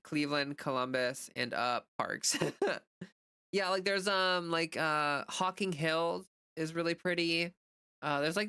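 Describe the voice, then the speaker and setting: A woman's voice: deep voice, pushed and bright, clear, resonant tone, dry recording, clean studio sound. An American woman livestreamer chatting casually and off the cuff, rambling informally as she answers viewer comments. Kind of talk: casual unscripted chat.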